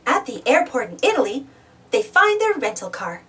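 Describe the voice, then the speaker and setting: A woman reading a children's storybook aloud in an animated, expressive way. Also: sits high, normal speed, recorded from a distance. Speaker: storybook reader, channel host. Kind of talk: children's read-aloud narration.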